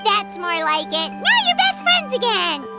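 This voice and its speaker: A woman voicing a tiny, excitable female fairy companion in a video game, high and chirpy. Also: a little slow, full character voice. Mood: gently scolding. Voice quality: high, chirpy, refers to herself in third person. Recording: game audio with background music.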